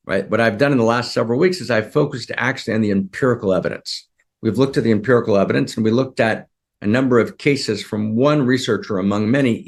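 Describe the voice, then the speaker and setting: Earnest man teaching a group. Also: medium pitch. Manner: keeps checking in with listeners, repeats phrases. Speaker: spiritual teacher.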